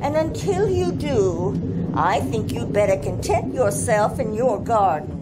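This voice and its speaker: A woman's voice: on the high side, big pitch swings. A woman playing a fussy, indulgent elderly mermaid grandmother in an old-time radio play, exclaiming and drawing out her words. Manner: exclaiming, chuckling, drawn-out delivery. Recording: noisy live stage recording.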